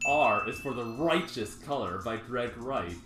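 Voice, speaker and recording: A man co-hosting a casual podcast, reading a comic's letters page aloud with playful, animated delivery. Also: medium pitch, a little slow, roomy sound, over loud music. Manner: animated, laughing, reads letters aloud with mock drama. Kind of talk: casual chat and table reading.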